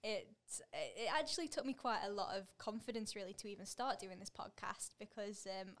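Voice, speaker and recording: A woman's voice: mid-range voice, normal speed. A woman hosting a marketing podcast, chatty and eager, peppering her talk with "like" and easy laughter. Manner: chatty, lots of "like" fillers, giggly and eager. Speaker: podcast host. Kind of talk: casual podcast interview.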